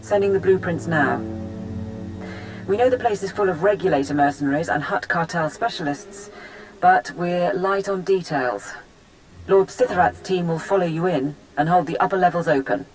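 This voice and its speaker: An actress playing a calm, crisp, dutiful Imperial support officer giving mission reports in a video game. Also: female voice, on the low side, moderate pace, faint music behind, natural voice, low-quality recording. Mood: businesslike. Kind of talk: video game cutscene acting.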